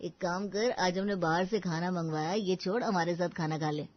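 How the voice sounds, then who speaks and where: A woman voicing a teasing, blunt office friend in an animated story. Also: fairly low pitch, normal speed, highs cut off, close to an everyday voice. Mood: mocking.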